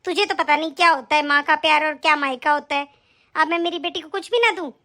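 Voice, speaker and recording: A woman's voice: sits high, fast talker, sharp tone. A woman voicing a stern, scolding older mother-in-law in a family skit. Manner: scolding, indignant.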